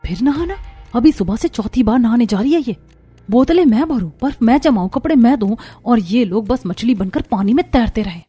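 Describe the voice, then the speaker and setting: An exasperated, overworked young housewife in a Hindi cartoon. She complains in a put-upon way with a fairly high voice, over background music. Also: lively intonation, brisk, mellow, husky. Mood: aggrieved. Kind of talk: Hindi cartoon story voice acting.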